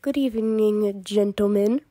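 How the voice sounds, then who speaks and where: A woman's voice: mid-range voice, steady tone, a little slow, dark tone, no room sound, close to an everyday voice. A woman voicing a smug genius inventor turtle in a cartoon comic dub, dry and theatrically formal. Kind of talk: fan comic dub acting.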